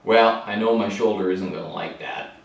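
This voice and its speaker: A man's voice: on the low side, normal speed, gravelly. A middle-aged man from Minnesota casually thinking aloud with fillers while working on a motorcycle in his home garage. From Minnesota, USA. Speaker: motorcycle builder and channel host. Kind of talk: casual workshop vlog. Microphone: camera mic, moving around the room. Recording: roomy garage, sometimes far from mic.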